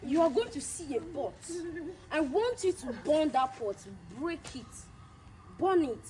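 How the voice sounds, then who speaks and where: An actress playing a prophet who gives firm orders and prays aloud. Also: female voice, high-pitched, big pitch swings, measured pace. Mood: commanding. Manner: commanding, repeats orders, prays loudly. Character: commanding woman prophet giving orders and prayers.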